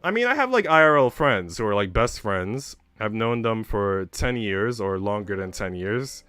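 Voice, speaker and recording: A man's voice: lowish voice, sing-song, moderate pace, fairly bright tone, clear, resonant tone, dry recording. A man hosting a livestream, talking casually and candidly to his viewers in a chatty way with some casual swearing. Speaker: livestream host. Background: background music.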